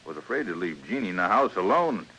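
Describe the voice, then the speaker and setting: Earnest frontier blacksmith, deep, swooping voice. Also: male voice, sing-song, fast, bright, forceful tone, slightly rough, exaggerated voice. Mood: alarmed, protesting. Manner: earnest, plain rustic speech. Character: accused frontier blacksmith, earnest, anguished family man. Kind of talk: old-time radio western drama acting. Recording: noisy old radio drama recording.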